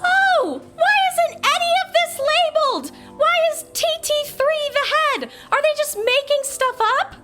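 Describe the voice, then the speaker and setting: A woman playing an exasperated, sharp-tongued young woman. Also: very high voice, very animated, unhurried, few pauses, music in the background, full character voice. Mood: irritated. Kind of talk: comedic audio drama acting.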